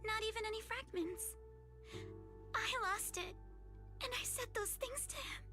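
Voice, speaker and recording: Young anime game girl: very high, bright voice, earnest and polite, music underneath. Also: measured pace, full character voice. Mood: sad, subdued.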